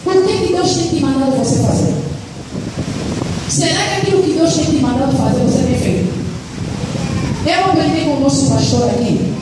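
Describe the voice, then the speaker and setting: A woman's voice: high voice, normal speed, long pauses. A woman missionary preaching a sermon in a church, telling a testimony earnestly and calling on the congregation for amens. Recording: echoey hall, distant mic, background noise.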